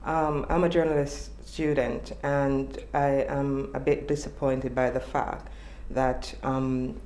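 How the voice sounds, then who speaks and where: A woman with a Jamaican accent answering interview questions in a measured, thoughtful way. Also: rumbling low, flat delivery, darkish tone. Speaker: journalism student interviewee. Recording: echoey room with faint noise.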